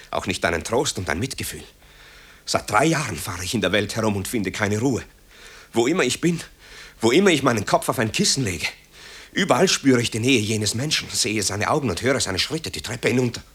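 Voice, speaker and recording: An actor playing a tormented, guilt-ridden composer in a radio play, with a husky voice and brooding, impassioned delivery. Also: male voice, on the low side, fairly bright tone. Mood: self-loathing. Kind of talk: dramatic radio play acting.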